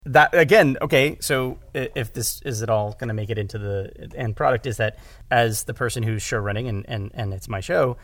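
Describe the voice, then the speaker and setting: A man's voice: mid-range voice, moderate pace, a bit bright, no room sound. A man who directs audio drama, talking casually in a podcast conversation and often correcting himself as he goes.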